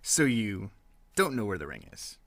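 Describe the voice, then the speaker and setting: A man giving dry, deadpan hard-boiled detective narration in a comic noir parody. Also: lowish voice, moderate pace, dry recording.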